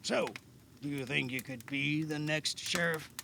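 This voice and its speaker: Man playing a gravelly, gruff older healer. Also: a little high, unhurried, bright, forceful tone, heavily acted. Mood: guarded, relaxed. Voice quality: gravelly, creaky. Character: gruff older male healer and storyteller, guarded but kindly. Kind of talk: amateur audio drama acting. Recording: faint background noise.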